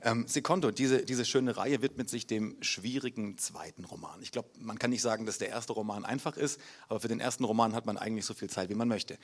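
A man's voice: lowish voice, a little fast, hardly any pauses. A German man hosting a literary reading in a city library, chatty and hesitant with fillers. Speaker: event host. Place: city library event room.

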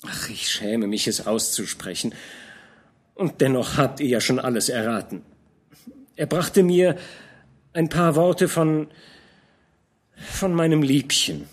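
A man's voice: mid-range voice, slow pace, pauses often, voice pushed up. Man reading as a proud hot-tempered young nobleman. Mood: earnest.

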